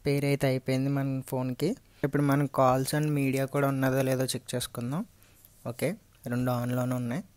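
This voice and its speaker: A man's voice: medium pitch, monotone, brisk, darkish tone, no room sound. A man with a Telugu accent giving an unscripted, step-by-step hands-on tutorial as a vlogger. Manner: explanatory, step-by-step. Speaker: vlogger reviewing and installing gear.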